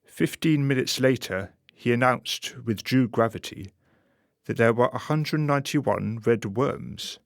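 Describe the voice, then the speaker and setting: A man narrating a scripted radio play in a wry, measured storytelling manner. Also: medium pitch, gravelly, no echo, clean studio sound.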